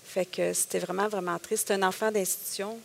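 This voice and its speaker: A middle-aged woman with a Québécois accent, speaking in a gravelly, mid-pitched voice. She recounts her story at length, with frequent hesitations and quoted asides. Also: fairly even intonation, brisk. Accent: Québécois French. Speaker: guest. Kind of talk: personal testimony in a conversation.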